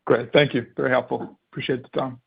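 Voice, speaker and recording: A man with a medium-pitched voice speaks over a slightly muffled conference line. He is an analyst putting questions to management, politely and tentatively, feeling his way through the phrasing. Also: level tone, fast, creaky, breathy edge. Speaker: financial analyst asking questions. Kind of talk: earnings call question. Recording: slightly dull conference call audio.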